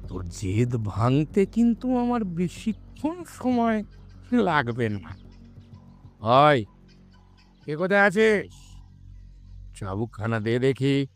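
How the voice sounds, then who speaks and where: A man playing a haughty, menacing village landlord in an audio drama, condescending and threatening. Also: medium pitch. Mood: commanding, threatening.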